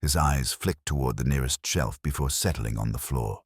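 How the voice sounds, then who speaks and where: A man narrating a scripted first-person mystery story in a solemn, literary, measured manner. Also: rumbling low, creaky, slightly rough, no room sound, studio quality.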